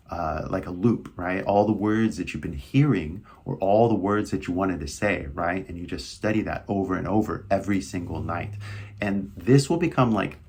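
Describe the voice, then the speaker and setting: A man's voice: fairly low pitch, breathy edge. A man teaching English in a casual video lesson, coaching with repetitive questions like "right?".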